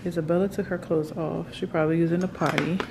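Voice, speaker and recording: A woman's voice: on the low side, steady tone, quick pace. A young woman vlogging casually at home, chatting informally and unhurriedly to her viewers in a kitchen. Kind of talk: casual vlog chat. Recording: noisy room ambience.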